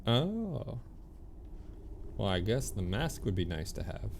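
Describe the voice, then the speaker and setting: A man's voice: on the low side, big pitch swings, slow pace, creaky, a little hiss or hum. A man giving casual livestream commentary on a video game, easygoing and chatty as he thinks aloud and reads out on-screen text. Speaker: game streamer.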